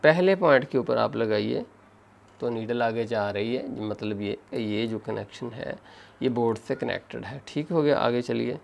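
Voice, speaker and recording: A man gives a hands-on tutorial in a gravelly, creaky voice. His pitch sits in the middle range. He explains each step in order and often addresses the viewers as friends. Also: normal speed, a little hiss or hum. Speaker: phone repair instructor.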